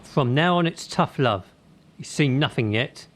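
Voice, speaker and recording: A man's voice: mid-range voice, lively intonation, a little slow, close to an everyday voice. A man acting the part of a hard-driving, menacing gymnastics coach in a radio play, firing out emphatic short commands and rhetorical questions. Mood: fired up. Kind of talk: radio drama acting, motivational tirade.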